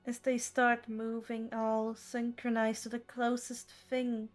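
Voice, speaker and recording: A woman with a fairly high voice acts as game master for a tabletop roleplaying session. She takes her time, pacing the narration slowly. Faint music plays behind her. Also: completely flat delivery.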